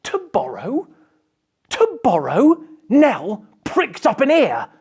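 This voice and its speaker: Slow, animated woman reading a storybook. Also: a little high, slightly rough. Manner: theatrical, dramatic emphasis with hushed passages. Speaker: storybook reader. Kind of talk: animated children's picture book read-aloud.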